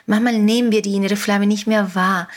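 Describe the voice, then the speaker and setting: A woman with a Spanish accent, a native Spanish speaker from Uruguay, hosting a motivational podcast with slow, repetitive, emphatic phrasing. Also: medium pitch, fairly even intonation. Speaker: podcast host, coach. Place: home studio on an island.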